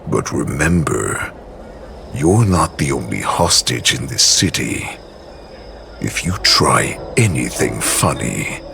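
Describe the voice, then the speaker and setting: A menacing wolf-beast warlord in a game cutscene, with an extremely deep voice and gravelly grit. He talks slowly and taunts, his pitch swinging widely with lively menace. Music plays clearly behind him. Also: exaggerated voice. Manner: slow, taunting, threatening. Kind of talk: video game cutscene acting.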